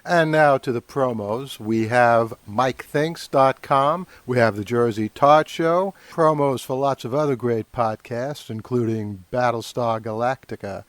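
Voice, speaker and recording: A man's voice: mid-range voice, measured pace, hardly any pauses, no room sound. Man hosting a podcast, dry close sound. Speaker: podcast host. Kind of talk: solo podcast talk.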